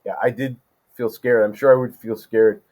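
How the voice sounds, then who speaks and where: A man of ordinary pitch chats easily as an interview guest, pausing on fillers and restarting sentences as he gathers his thoughts. The voice sits right in the middle of the male range, with a relaxed, unhurried feel. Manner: relaxed, thinks aloud with many ums and restarts. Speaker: guest judge. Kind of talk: casual interview talk.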